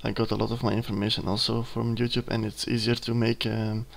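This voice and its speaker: A young man in his twenties, a native Dutch speaker with a Dutch accent, hesitantly talking through a screen-recorded software tutorial, with many ums and self-corrections. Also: on the low side, little pitch movement, brisk, vocal fry. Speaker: student giving a tutorial. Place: at a computer, indoors.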